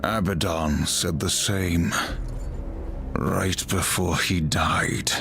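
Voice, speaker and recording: A man's voice: deep voice, steady tone, extremely slow, vocal fry, exaggerated voice. An actor playing a grim, stern horseman warrior in a video game, terse and accusing, with music behind him. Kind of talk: solemn video game character acting.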